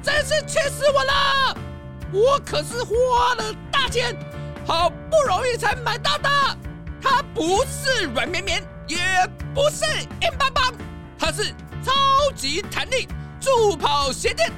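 A woman voicing an excitable, insecure young male ostrich in a children's audio drama, stammering and whiny. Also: very high voice, a little slow, music in the background. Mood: indignant.

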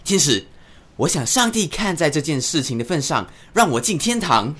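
An actor plays a self-righteous man proud of his own generosity. He pleads his case earnestly, with a very lively swing in his male voice. It is theatrical radio drama delivery. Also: on the high side, moderate pace, slightly rough, heavily acted. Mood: self-satisfied pleading. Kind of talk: scripted Mandarin radio drama acting.

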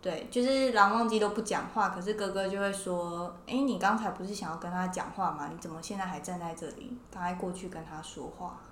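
A woman's voice: lowish voice, a little fast, few pauses, fairly bright tone. A woman co-hosting a casual podcast conversation about fiction. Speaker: podcast co-host. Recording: roomy echo, faint background noise.

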